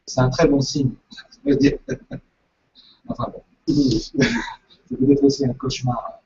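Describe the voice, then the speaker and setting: A man with a big voice, teaching a ritual step by step in a lively way and switching between French and English as he explains. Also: fairly high pitch, a little slow, creaky, lo-fi sound. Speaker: meditation teacher.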